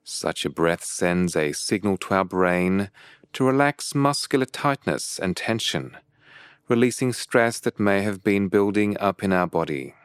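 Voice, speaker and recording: A man leading a guided meditation as a teacher, with calm, measured and deliberate phrasing broken by pauses. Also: deep voice, clear, resonant tone, no room sound, clean studio sound.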